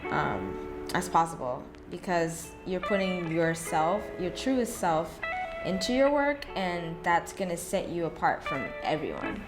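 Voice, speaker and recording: A woman's voice: on the low side, very animated, a little slow. A woman artist chatting casually and informally as an interview guest. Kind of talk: casual interview chat over painting. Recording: loud guitar music under voice. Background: plucked guitar music.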